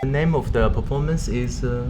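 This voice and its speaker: A man's voice: mid-range voice, moderate pace, music in the background. A man hosting an English-language interview show, upbeat and encouraging with his guests. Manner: upbeat, encouraging, frequent backchannels. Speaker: talk show host.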